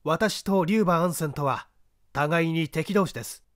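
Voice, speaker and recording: A man's voice: fairly high pitch, moderate pace, dry recording, clean studio sound, pitched above the performer's own voice, close to an everyday voice. A man reading an audiobook, voicing a proud, iron-willed detective in a grave, declamatory manner.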